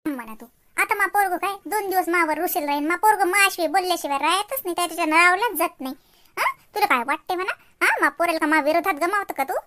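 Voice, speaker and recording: A woman's voice: very high voice, fast talker, sharp tone. A woman playing a quarrelsome, boastful mother-in-law in a cartoon comedy, scolding in a Varhadi Marathi accent from Vidarbha. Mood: indignant, threatening. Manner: scolding, ranting with rhetorical questions. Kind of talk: exaggerated cartoon comedy acting.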